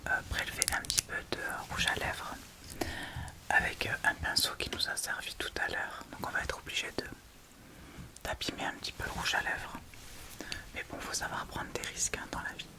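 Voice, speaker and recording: A woman speaks in a soft, breathy whisper, casually chatting and thinking aloud through an ASMR tutorial close to the mic. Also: normal speed. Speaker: ASMR video host.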